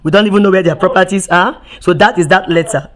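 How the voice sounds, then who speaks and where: A woman's voice: lowish voice, fast, crowd noise. Emphatic woman activist firing rhetorical questions. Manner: emphatic, repeats and restarts words, rhetorical questions. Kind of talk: impassioned recorded testimony.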